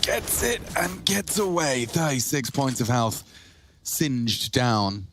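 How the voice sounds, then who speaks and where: A man with a British accent giving lively play-by-play commentary on an esports match. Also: mid-range voice, takes it slowly, a little hiss or hum.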